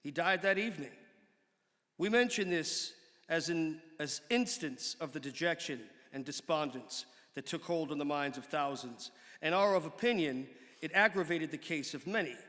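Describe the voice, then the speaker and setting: Man reading an old document out loud, measured and formal, slightly high voice, echoey hall. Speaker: actor giving a dramatic reading. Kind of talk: dramatic reading of an 18th-century pamphlet. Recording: reverberant hall.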